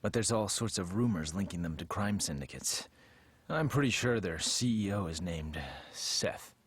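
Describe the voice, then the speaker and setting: Deep, businesslike investigator. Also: male voice, expressive, normal speed. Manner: matter-of-fact, plain-spoken. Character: businesslike, serious male investigator ally. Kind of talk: English dub animated film acting. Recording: faint noise behind the voice.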